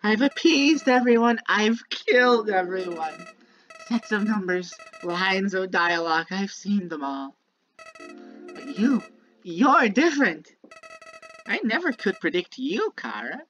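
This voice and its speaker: Music plays under a muffled, lo-fi recording of a childlike, villainous talking flower. The voice swoops widely in pitch through a theatrical game monologue. It is bitter and manipulative, sweetly musing and then menacing. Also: female voice, animated, slow, fairly bright tone. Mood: bored, bitter. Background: prominent music.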